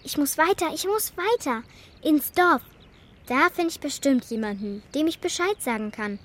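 A woman's voice: sits high, animated, normal speed, a bit bright. A woman playing a brave, earnest and insistent young girl in a children's radio play. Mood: urgent, determined.

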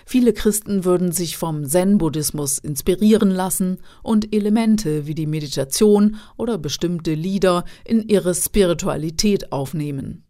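Mellow-voiced female radio reporter. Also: fairly low pitch, normal speed, few pauses. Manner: even, informative. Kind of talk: scripted radio narration.

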